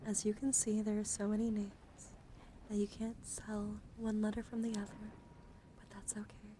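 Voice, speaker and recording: A young woman streaming ASMR, chatting playfully and teasingly to viewers in a coddling way, drifting between soft talk and whispers close to the microphone. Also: medium pitch, completely flat delivery, normal speed, slight background noise. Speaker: live streamer. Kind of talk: ASMR livestream with casual chat to viewers.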